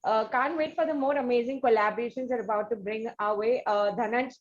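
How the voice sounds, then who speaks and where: A woman's voice: a little high, fairly quick, muffled recording. A woman with an Indian accent hosting a casual music interview in a chatty, teasing way. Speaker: interview host.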